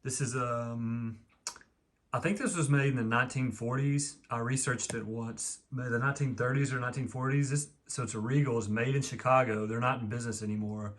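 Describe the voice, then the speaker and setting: Folksy man with a deep voice. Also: fairly even intonation, brisk. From East Texas. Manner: rambling, folksy, lots of ums. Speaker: vlogger. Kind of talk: casual home how-to video.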